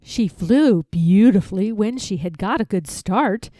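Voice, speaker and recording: A woman narrating a children's story from a script, expressive and careful in her phrasing. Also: medium pitch, unhurried, dry recording, clean studio sound. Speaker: storybook reader.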